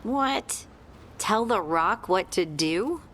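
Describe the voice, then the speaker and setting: A woman playing an earnest, bubbly and awkward young adventurer in a fantasy video game, expressive and playful. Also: mid-range voice, slow, slight background noise. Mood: awkward, skeptical. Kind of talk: naturalistic video game acting.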